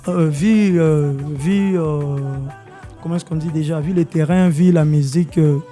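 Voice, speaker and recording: Man, dark tone, music under. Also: fairly high pitch, steady tone, measured pace. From Congo. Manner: mixes French with Swahili, hesitant with many fillers. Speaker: musician guest. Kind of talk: radio interview. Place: radio studio.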